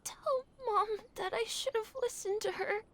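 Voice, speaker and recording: A woman voicing a sick, timid little child in a video game, dramatic and guilt-ridden, in a small breathy voice. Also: very high-pitched, measured pace. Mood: tearful, feverish.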